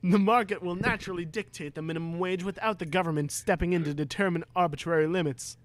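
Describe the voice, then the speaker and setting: A man's voice: high voice, fairly even intonation, fast, pitched above the performer's own voice, lightly acted. A man voicing an earnest, pompous schoolboy who lectures with great self-assurance in a dramatic reading. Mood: defiant, lecturing. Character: earnest, pompous schoolboy free-market zealot.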